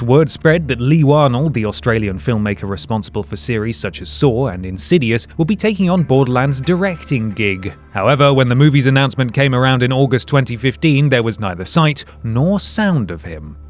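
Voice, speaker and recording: A British man reading scripted narration as a presenter, in a wry, sardonic manner. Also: medium pitch. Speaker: video essay presenter.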